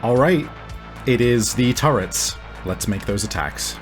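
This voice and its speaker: A man running a tabletop game as game master, improvising narration and explaining deliberately with casual swearing. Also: mid-range voice, animated. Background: faint music.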